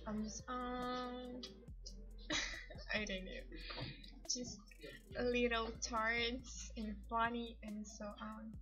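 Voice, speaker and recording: A woman's voice: on the high side, flat delivery, drawn-out words, long pauses, sharp tone. A woman with an Eastern European accent chatting casually to livestream viewers, giggly and hesitant in her English. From Ukraine. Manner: giggly, hesitant non-native English phrasing. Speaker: livestream host. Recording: dull lo-fi stream audio, music behind. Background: music.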